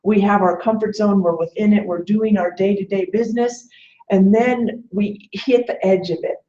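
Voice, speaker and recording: A woman's voice: medium pitch, fairly even intonation, normal speed, warm tone. A woman in her late forties speaking as a webinar guest in a conversational, motivational coaching style, earnestly illustrating her points with quoted remarks. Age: late 40s. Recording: muffled, echoey webinar audio.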